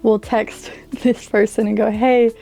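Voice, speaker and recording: A young woman, an international language student, giving thoughtful, measured interview answers. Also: a little high, level tone, warm tone, faint music behind, poor audio. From United States.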